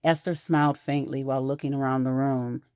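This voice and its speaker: A woman narrates a scripted romantic audio drama. Her voice is low and dark-toned, and the recording is close and dry, with no room sound. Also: flat delivery, a little fast, clean tone, no room sound.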